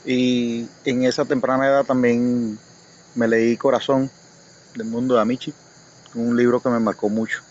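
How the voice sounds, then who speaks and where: A man from Cuba with a Cuban accent, recalling his own life haltingly and reflectively as a guest in a casual conversation. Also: medium pitch, level tone, a little mellow. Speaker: guest reader. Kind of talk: personal recollection in casual conversation. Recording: dull lo-fi audio, faint noise, insects.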